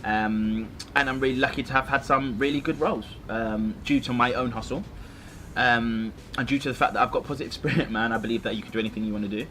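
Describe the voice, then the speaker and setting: A young man from London who grew up in Norwich, chatting casually as a guest, jokey and animated with frequent laughs. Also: mid-range voice, quick pace, a little hiss or hum. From London and Norwich, England. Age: young adult. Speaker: actor and social media creator, guest. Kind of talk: casual chat.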